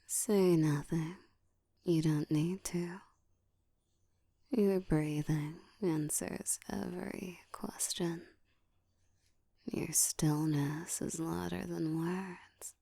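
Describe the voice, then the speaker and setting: A woman playing a seductive, domineering ancient vampire in an intimate ASMR roleplay, speaking in a slow, breathy whisper with a teasing, commanding manner, close to the mic. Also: lowish voice, steady tone, warm tone, no echo, full character voice. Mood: commanding, menacing. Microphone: close microphone.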